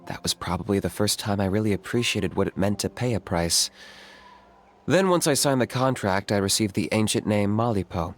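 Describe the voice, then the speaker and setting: A cool young warrior played by a male actor speaks in a lowish voice. He is composed, pragmatic and matter-of-fact, with faint game music underneath. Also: moderate pace, close to an everyday voice. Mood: calm, explanatory. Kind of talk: video game voice acting.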